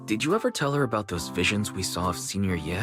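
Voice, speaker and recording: Young game investigator dude, easygoing, curious, lively intonation, music behind. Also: male voice, lowish voice, fast. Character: easygoing young man investigator, inquisitive and considerate. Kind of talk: conversational video game voice acting.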